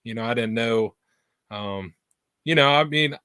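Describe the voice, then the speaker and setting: A man's voice: medium pitch, measured pace, pushed and bright, clean tone, no echo, clean studio sound. A man in his twenties from Pennsylvania, a guest on a video call, chatting in a laid-back way full of fillers. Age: 20s. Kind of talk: casual chat.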